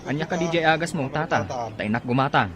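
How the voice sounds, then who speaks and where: A man's voice: a little high, expressive, fast, crowd noise, natural voice. An actor with an Ilocano Filipino accent playing a kind, helpful young man in a radio drama. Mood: concerned. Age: young adult.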